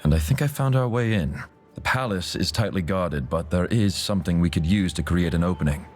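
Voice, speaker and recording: A man's voice: deep voice, brisk, soft background music, natural voice. A man playing a wry, scheming infiltrator in a video game, talking in a conspiratorial way. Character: wry, scheming male infiltrator, sardonic ally. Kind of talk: video game dialogue acting.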